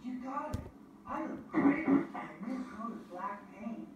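Woman doing friendly diesel engine, plain and lively, echoey distant sound. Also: a little high, unhurried, highs cut off. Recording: echoey and distant, with background noise.

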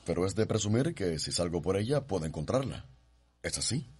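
Haughty gentleman, low animated voice. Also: a little fast. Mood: polite. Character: haughty, imperious gentleman visitor, racist. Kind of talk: radio soap opera acting.

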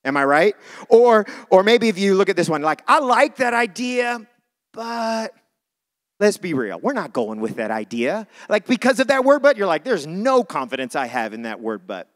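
High-pitched male preacher. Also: very animated, normal speed, fairly bright tone. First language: not English (says English is not his first language). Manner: informal and conversational, repeats phrases for emphasis. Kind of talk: church sermon.

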